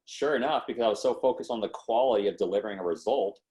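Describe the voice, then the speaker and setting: A man in his late twenties with a somewhat low voice tells stories as a podcast guest. His talk is loose and slangy, and he drops into the voices of people he quotes. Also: racing. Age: 20s. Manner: lively, slangy, acts out quotes. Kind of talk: casual storytelling in an interview.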